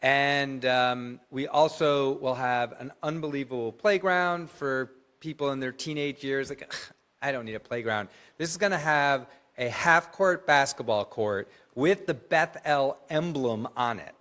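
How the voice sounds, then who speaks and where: A man at mid pitch addresses a congregation as its president. He runs through announcements in a chatty, upbeat way, with jokes along the way. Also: measured pace, fairly bright tone, clear, resonant tone. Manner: chatty, enthusiastic, joking asides. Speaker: synagogue president giving announcements. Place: large synagogue sanctuary.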